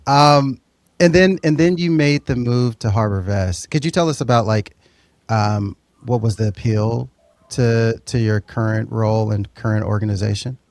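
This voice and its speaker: A man co-hosting a podcast interview, asking questions in a casual, relaxed way. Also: medium pitch, moderate pace, dry recording. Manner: casual, relaxed, with fillers and repeats. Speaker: podcast co-host.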